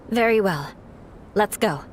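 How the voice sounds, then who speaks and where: A woman's voice: mid-range voice, a little hiss or hum. A woman playing a proud, aloof young noblewoman knight in a video game, formal, haughty and decisive.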